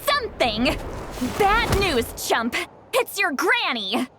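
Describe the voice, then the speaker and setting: A woman playing a feisty, scolding granny with a caring streak in a dubbed game, sharp-tongued, exasperated and theatrical. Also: very high voice, sing-song, slow pace, noisy background, full character voice. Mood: indignant scolding. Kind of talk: dubbed video game dialogue. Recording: faint music under the voice.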